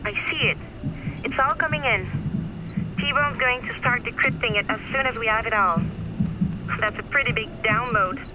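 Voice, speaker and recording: A woman's voice: on the high side, moderate pace, long pauses, loud music behind the voice, natural voice, rough recording. An actress playing an earnest, eager young female hacker in a video game. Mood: focused. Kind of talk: video game cutscene acting.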